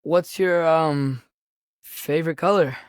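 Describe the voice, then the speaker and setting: A man's voice: a little high, slow, clean tone, no echo, studio quality. A young male actor playing an earnest, curious, impulsive teenage boy in a Norse myth video game, speaking naturally with a hesitant, boyish manner.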